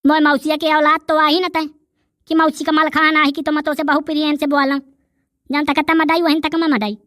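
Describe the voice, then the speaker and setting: A woman's voice: high voice, a bit bright, no echo, clean studio sound. A woman voicing a sassy, wordy, bickering rustic character in a village comedy cartoon, with a Bundelkhandi accent. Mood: argumentative. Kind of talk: dialect comedy cartoon dialogue.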